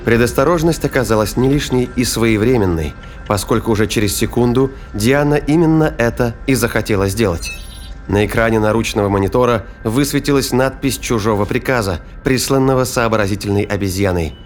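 A man's voice: medium pitch, a little fast, faint music behind. A man narrating a science fiction thriller as scripted, dramatic audio fiction.

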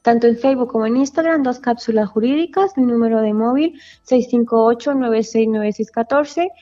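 Woman immigration lawyer, walks through the steps patiently with asides; warm and slightly high, on a muffled line. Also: moderate pace, soft background music. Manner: explanatory, with many asides and self-corrections. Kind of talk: explaining legal steps in a radio interview. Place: office in Valencia, Spain.

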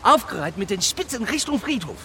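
A man's voice: high-pitched, very animated, brisk, pushed and bright, exaggerated voice. A man in a radio drama playing an eccentric, tipsy English aristocrat, theatrical and teasing. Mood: teasing, sly. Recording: faint street and vehicle noise.

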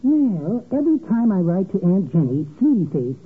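A man's voice: sits high, very animated, moderate pace, husky, heavily acted. An actor playing a meek, henpecked little American man, hesitant and apologetic, in old-time radio comedy. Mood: meek, plaintive. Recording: tinny, faintly noisy old radio recording.

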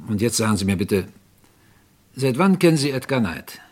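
An actor playing a courteous but insistent police inspector in a crime radio play, questioning deliberately in a husky voice. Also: male voice, fairly low pitch, sing-song, a little mellow. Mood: polite, insistent. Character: probing, politely persistent male police inspector.

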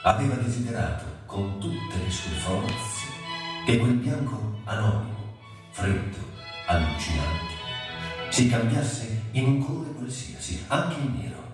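An actor playing a solemn literary narrator in a radio drama, brooding and deliberate, lingering on single words. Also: male voice, lowish voice, big pitch swings. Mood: anguished, solemn. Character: brooding literary narrator of a suffering man's inner world. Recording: cavernous echo, distant, loud violin music. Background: loud violin music.

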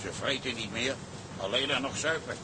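Very loud ambient noise surrounds a man acting a blunt sailor. He grumbles along in a medium-pitched voice, in radio drama style. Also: moderate pace, close to an everyday voice. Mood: grumbling.

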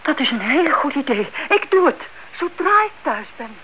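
A fussy older aunt in a radio play, anxious and polite. Her high voice rises and falls in very lively sweeps. The old recording is muffled, with some hiss. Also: moderate pace. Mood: contrite. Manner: fretful, excitable, polite. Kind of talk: Dutch radio play acting.

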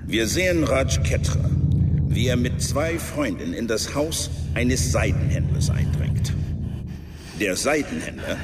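A man acting as a sober, matter-of-fact chronicler of grim events in a radio drama. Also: on the low side. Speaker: narrator. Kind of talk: documentary-like radio drama narration. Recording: loud ambience and vehicle noise behind.

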